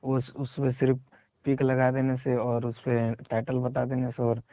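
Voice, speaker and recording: A Bihari man with a mellow, medium-pitched voice answers casually on a recorded phone call. He is defensive and brief, and the sound is dull and lo-fi. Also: completely flat delivery, unhurried, no echo. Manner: defensive, terse. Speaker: singer being questioned.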